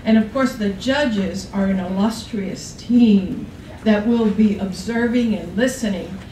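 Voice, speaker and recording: A woman's voice: medium pitch, a little slow, dark tone. A middle-aged woman, a college provost, giving an informal welcome speech. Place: college classroom at a podium. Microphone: distant camera. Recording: echoey room, distant mic, clear noise.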